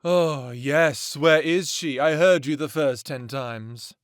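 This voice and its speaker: A man's voice: a little high, slow pace, clean tone, no echo, studio quality. A man acting a sadistic, playful vampire villain who taunts theatrically in an audio drama. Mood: cruel, gloating. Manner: taunting, theatrical, toying with words.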